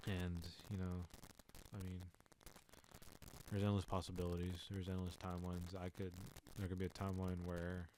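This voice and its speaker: A man's voice: deep voice, noisy background. A young man hosting his own podcast, rambling casually with lots of fillers, restarts and swearing. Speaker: podcast host. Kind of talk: casual solo talk.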